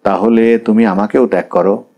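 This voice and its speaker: Brief: insistent young wife in a storybook, read by a male narrator, warm tone. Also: fairly low pitch, steady tone, moderate pace, natural voice. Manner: insistent, questioning.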